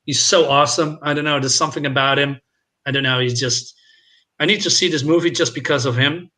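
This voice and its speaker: A man's voice: on the high side, steady tone, brisk, sharp tone. A man co-hosting a casual movie discussion, giving his opinions freely. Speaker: film discussion show co-host.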